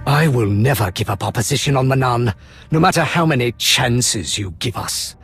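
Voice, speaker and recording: An actor playing a stern, impatient military colonel in a video game, clipped and commanding. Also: male voice, medium pitch, moderate pace, fairly bright tone, breathy edge, soft background music. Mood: defiant.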